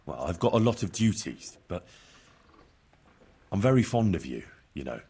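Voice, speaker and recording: An actor playing an earnest, cheeky young medieval village lad in love, bashful and plain-spoken, in a video game. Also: male voice, low-pitched, sing-song, normal speed, gravelly, dark tone, a little hiss or hum, exaggerated voice. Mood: sheepish. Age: young adult. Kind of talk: naturalistic video game dialogue acting.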